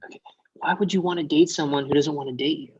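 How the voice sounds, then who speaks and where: A man's voice: a little high, fairly even intonation, quick pace, darkish tone, husky, highs cut off. An actor reading the part of Nathan, a meek, awkward young man, in a hesitant, mild way at a comedy table read. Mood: questioning.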